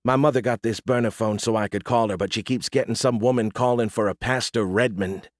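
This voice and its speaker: A man voicing an exasperated young woman who reproaches her boyfriend in a quiet way. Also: lowish voice, steady tone, brisk, no room sound, studio quality, natural voice. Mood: annoyed.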